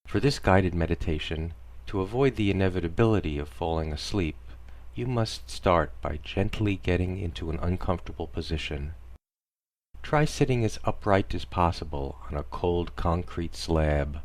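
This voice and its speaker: Low-voiced man guiding a deadpan meditation. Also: normal speed. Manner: deadpan, soothing instructional delivery. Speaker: guided meditation narrator. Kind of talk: parody guided meditation, scripted narration.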